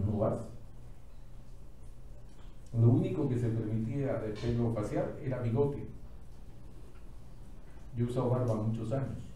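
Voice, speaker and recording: A middle-aged man giving a conference talk, telling anecdotes in short, emphatic, deliberate phrases. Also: mid-range voice, warm tone. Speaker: conference speaker. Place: indoor auditorium, speaking at a podium. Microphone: distant camera microphone. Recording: echoey hall from far off, faint noise.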